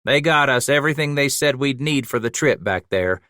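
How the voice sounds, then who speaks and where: A man's voice: medium pitch, expressive, moderate pace, bright, forceful tone, dry recording, studio quality, voice pushed up. A man doing a crude swaggering frontiersman. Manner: crude, swaggering, drawling slang.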